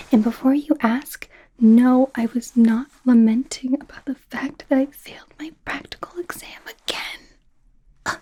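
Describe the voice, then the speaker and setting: Woman playing a breathy, flustered young werewolf woman. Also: high voice, full character voice. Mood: embarrassed, defensive. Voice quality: soft, breathy, often whispery. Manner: nervous, stammering, rambling, indignant when embarrassed. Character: flustered, awkward young female werewolf medical student. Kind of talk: soft-spoken ASMR roleplay.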